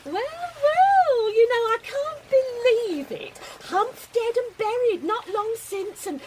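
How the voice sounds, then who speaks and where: Shrill, gushing British busybody. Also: female voice, expressive, takes it slowly, very noisy, heavily acted. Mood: barbed, spiteful. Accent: British English. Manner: theatrical, gushing, tactless, chattering with pointed laughs. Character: brash, gushing, spiteful married woman, barbed busybody. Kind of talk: radio drama acting.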